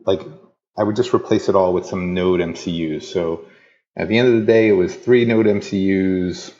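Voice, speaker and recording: A man's voice: low voice, a little fast, a little mellow, lo-fi sound. A man being interviewed on a podcast, telling his story with easy enthusiasm. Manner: enthusiastic, storytelling, laughs easily. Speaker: interview guest. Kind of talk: casual interview chat.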